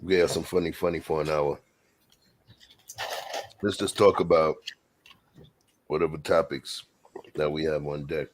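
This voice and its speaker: Deep-voiced American man hosting casual banter. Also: flat delivery, a little slow, long pauses, a little hiss or hum. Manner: playful teasing, slangy. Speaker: host of an online reaction show.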